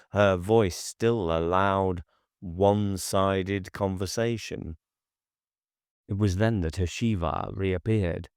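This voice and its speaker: A man reading gothic horror fiction as an audiobook narrator, measured and deliberate in his storytelling. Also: sits low, smooth, no echo, clean studio sound.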